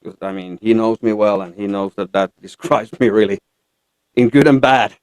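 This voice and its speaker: A man from Finland with a Finnish accent, chatting casually as an interview guest in a halting way. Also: fairly low pitch, a little mellow, clear, resonant tone, dry recording. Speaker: guest country singer-songwriter. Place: radio studio.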